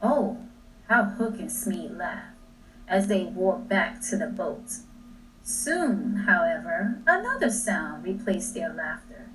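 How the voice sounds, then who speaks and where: A woman reads a children's story aloud in an expressive, dramatic way. Her pace is slow, with deliberate pauses. She sounds a bit away from the mic, with clear noise in the recording. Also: fairly low pitch. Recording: distant mic, clearly noisy.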